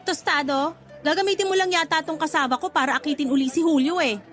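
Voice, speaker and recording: A prickly young market girl snaps back in a high voice. She is jealous and secretly lovestruck, all defensive comic retorts in radio drama style. Soft music plays behind her. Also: quick pace. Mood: suspicious, scolding. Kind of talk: Tagalog radio drama acting.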